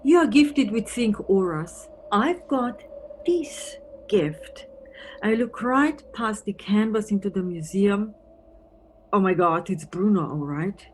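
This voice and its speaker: An actress playing a wry, wistful married police detective from Antwerp in an audio play, musing conversationally. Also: female voice, medium pitch, lively intonation, takes it slowly, darkish tone. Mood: astonished, tender. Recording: noisy recording with background ambience.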